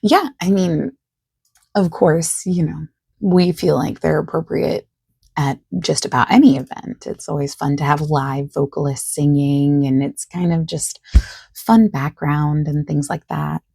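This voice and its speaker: A soft-spoken woman doing an ASMR roleplay as a friendly phone salesperson, explaining things at length in a gentle, reassuring, rambling way. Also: deep voice, steady tone, unhurried, dry recording, clean studio sound. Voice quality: soft, husky, creaky.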